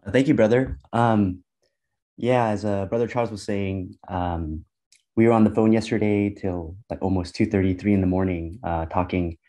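A man's voice: fairly low pitch, steady tone, normal speed, warm tone. A congested man with a cold speaking hesitantly over a video call, with many ums and restarts. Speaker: guest Bible teacher. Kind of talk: casual testimony.

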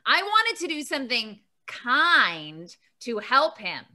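A woman's voice: high-pitched, wildly swooping pitch, slow pace, sharp tone, exaggerated voice. A woman voicing a kind, bubbly sister dinosaur puppet, telling a children's story in a playful, exaggerated way. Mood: kindly. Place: room at home. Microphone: video call, computer mic.

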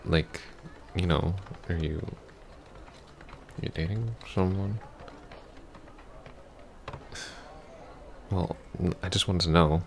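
A man playing an awkward, apologetic young ex-boyfriend in an ASMR roleplay, halting and stammering. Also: low-pitched, fairly even intonation, vocal fry, a little hiss or hum. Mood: sheepish, guarded. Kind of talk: ASMR roleplay, soft intimate acting.

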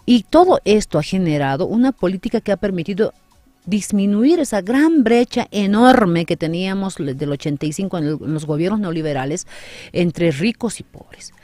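A woman in her forties, a councillor interviewed in a small radio studio, explaining her views earnestly and with emphasis on a boom-arm mic. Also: animated, normal speed, dark tone, no room sound, soft background music. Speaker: guest, city councillor.

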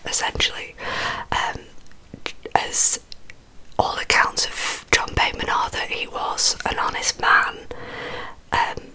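A woman in her twenties with a Northern English accent, telling a story in a soft, breathy ASMR whisper close to the microphone. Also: very high-pitched, poor audio. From Yorkshire, England, near Leeds. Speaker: ASMR host telling true crime. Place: small bedroom, indoors. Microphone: large microphone close in front.

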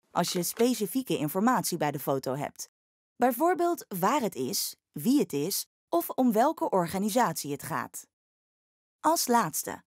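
A woman reading a scripted instructional voice-over, explaining each step clearly as a tutorial narrator. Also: mid-range voice, a little mellow, no room sound, studio quality.

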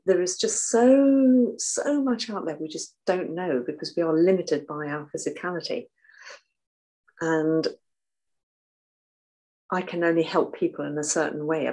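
Older woman guest, soft low voice, gestures a lot, video-call sound. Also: normal speed. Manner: animated, waves arms while talking. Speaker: guest author and artist. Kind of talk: casual video call interview. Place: home room, indoors.

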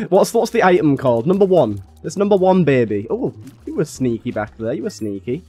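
A young man with a slightly raspy voice from being a little ill, chatting casually and thinking aloud as he plays a game. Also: on the high side, big pitch swings, moderate pace, warm tone. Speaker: gaming YouTuber. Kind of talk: casual gameplay commentary.

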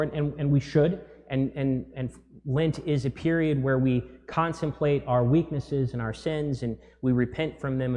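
A man's voice: on the high side, warm tone. A man in his thirties, a priest giving a sermon in a casual, conversational way with fillers and restarts, recorded in a large church on a lapel mic. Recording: long church reverb.

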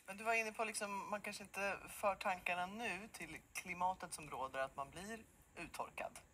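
A middle-aged woman hosting a morning show, asking lively, prompting questions in a TV studio on a lapel mic. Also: medium pitch, a little hiss or hum. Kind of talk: TV studio interview. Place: bright TV studio.

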